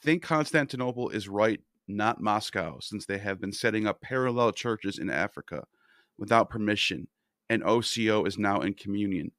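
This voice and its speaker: A male live-stream host with a somewhat low voice reads out viewer comments and thanks the people who sent them. His delivery is relaxed and easygoing. The sound is dry and close to the mic. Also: normal speed, no echo.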